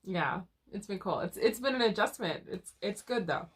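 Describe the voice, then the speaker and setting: A woman's voice: mid-range voice, animated, normal speed, husky. A woman co-hosting a casual couple chat, talking through a lingering cough with a cough drop in her mouth, laughing often and restarting her sentences. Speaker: co-host of couple chat channel.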